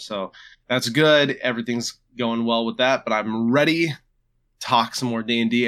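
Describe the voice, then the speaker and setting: A man hosting a casual podcast chat, stuffed up with a cold, talking in a relaxed, chatty way with frequent restarts. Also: mid-range voice. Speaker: podcast host.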